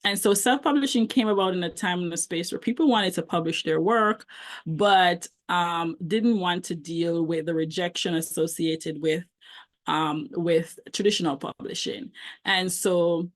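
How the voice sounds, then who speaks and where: Jamaican lady, middle-aged, talking thru a coaching presentation, lots of ums. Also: lowish voice. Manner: warm conversational, frequent ums. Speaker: self-publishing book coach, presenter. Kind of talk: online presentation.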